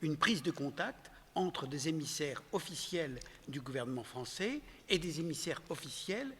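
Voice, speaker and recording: A man with a fairly high speaking voice guides a discussion, laying out points in a measured, explanatory way. He uses a few fillers. The room gives his voice a long reverb. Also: animated, sharp tone, slight background noise. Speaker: moderator. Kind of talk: guiding a panel discussion.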